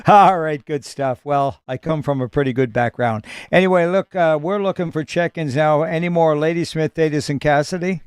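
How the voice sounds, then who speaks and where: A man with a slightly higher voice runs an amateur radio net. He talks in a friendly, folksy way, with plenty of "uh"s and relaxed pauses between call signs. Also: clean tone, no room sound, clean studio sound. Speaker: net control host of a ham radio net. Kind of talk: radio net operating chat.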